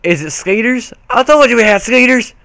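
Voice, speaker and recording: A man's voice: extremely high pitch, animated, normal speed, pushed and bright, no room sound, voice pushed up, full character voice. A man voicing an oblivious old granny farm mare in folksy country talk from a pony cartoon story. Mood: startled.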